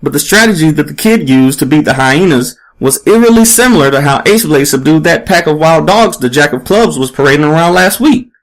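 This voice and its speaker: A man's voice: fairly high pitch, lively intonation, fairly quick, few pauses, pitched above the performer's own voice, poor audio. A man voicing a pushy, relentless female investigative reporter in an audiobook story, with an emphatic, probing delivery. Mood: insistent.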